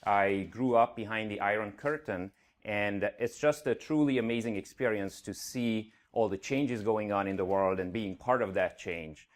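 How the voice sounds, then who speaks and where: A man's voice: lowish voice, moderate pace. A middle-aged man from Hungary with a slight Hungarian accent, giving an earnest conference talk with frequent fillers. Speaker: conference speaker. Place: conference venue stage.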